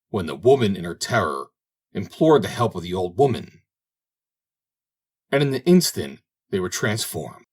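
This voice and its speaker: A man reading a fairy tale aloud as scripted audiobook narration, in a dramatic, expressive storytelling manner. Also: low-pitched, breathy edge. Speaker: audiobook reader.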